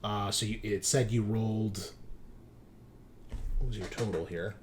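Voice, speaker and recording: A man's voice: on the low side, unhurried, a little hiss or hum. Man running a tabletop roleplaying game. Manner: conversational, explanatory. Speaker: game master.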